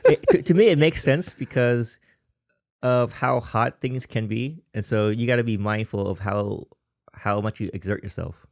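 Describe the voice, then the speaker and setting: A man's voice: mid-range voice, moderate pace, a little mellow, no echo, studio quality. A man from Vietnam hosting a podcast, talking casually and carefully, hedging his points with restarts and qualifiers. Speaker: podcast host. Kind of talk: casual conversational interview.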